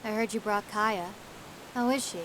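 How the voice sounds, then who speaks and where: An actress playing a strong-willed, idealistic scientist in an audio drama, firm and pointed, with a wounded edge. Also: female voice, mid-range voice, moderate pace, a bit bright, slight background noise, lightly acted, low-quality recording. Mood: probing.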